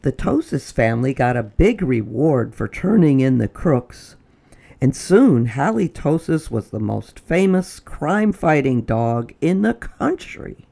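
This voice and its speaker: Slow, expressive woman reading aloud. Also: extremely low pitch, vocal fry. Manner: expressive, dramatic pauses, drops to whispers. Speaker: storytime reader. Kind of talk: children's book read-aloud.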